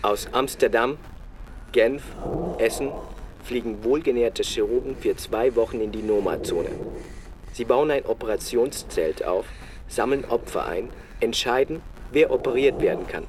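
Man as sober radio reporter, terse and deliberate, medium pitch, faint ambience behind. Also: noisy background, lightly acted. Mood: matter-of-fact. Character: sober male reporter recounting a bleak field search. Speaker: reporter-narrator. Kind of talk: literary radio feature narration.

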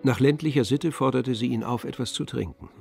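A man narrating a literary radio play in a measured, composed manner. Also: mid-range voice, gravelly, darkish tone, slightly rough. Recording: dry studio with faint music.

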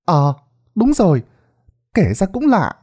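A man's voice: high-pitched, wildly swooping pitch, takes it slowly, mellow, breathy edge, voice pushed up, heavily acted. A man voicing a male villager in the crowd who eagerly chimes in. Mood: agreeing. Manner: eager, nodding-along agreement.

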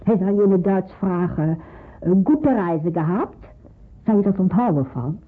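A middle-aged pastor's wife in a radio drama, caring and practical. Her voice is husky, and she explains things in a reassuring, slightly hesitant way. It sounds muffled, as if down a phone line. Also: mid-range voice, animated, moderate pace. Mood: briskly explaining. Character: caring, devout pastor's wife aiding people in hiding. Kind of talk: Dutch radio drama acting.

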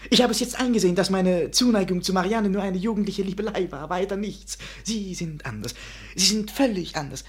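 An actor in a 1950s German radio play playing a vain, scheming young painter with grandiose, flowery speech. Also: male voice, sits high, fairly quick, few pauses, breathy edge. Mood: ardent, theatrical. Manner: grandiose, flowery, self-important. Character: vain, scheming young male French painter, theatrically charming.

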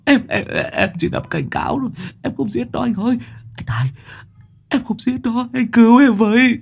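A man voicing a terrified young man who stammers and begs in a high, whimpering voice. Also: very animated, moderate pace, vocal fry, breathy edge, exaggerated voice. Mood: begging. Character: terrified young drug-addled man, pleading.

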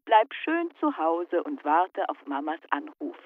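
An actor playing a teasing friend on the telephone in a German radio drama, full of dry, mocking banter. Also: male voice, a little high, very animated, measured pace, background noise. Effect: telephone filter. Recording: dull lo-fi telephone line.

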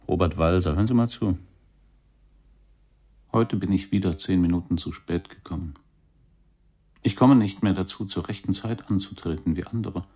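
Low-voiced, boozy, weary young jazzman. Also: darkish tone. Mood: bleak. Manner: rambling, confiding, broken phrases with muttered brr sounds. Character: weary, boozy, disillusioned young male jazz cornettist. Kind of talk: radio play monologue.

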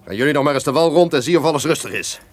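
An actor playing a guilt-ridden, suspicious castle lord, theatrical and declamatory, in an old-fashioned radio drama. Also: male voice, on the high side, expressive, racing, natural voice. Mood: angry, commanding. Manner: theatrical, declamatory, swinging from barking orders to brooding. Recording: noisy old radio play recording.

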